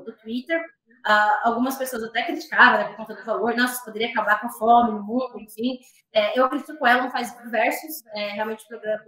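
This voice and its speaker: Woman guest, high and bright, earnest and reflective, echoey room. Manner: earnest, reflective, many fillers and restarts. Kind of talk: conversational discussion.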